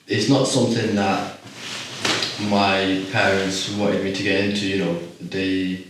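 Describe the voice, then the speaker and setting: A calm man in his twenties with a low voice, from Iraq. He speaks thoughtfully and pauses on ums, recorded from a distance in a very echoey room. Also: background noise. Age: 20s. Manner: calm, reflective, many ums. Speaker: guest. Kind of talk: casual interview.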